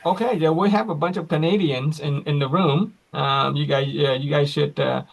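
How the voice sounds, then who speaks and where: A man hosting an online class on a video call, slow and reflective, with frequent fillers. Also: on the high side, gravelly, rough recording.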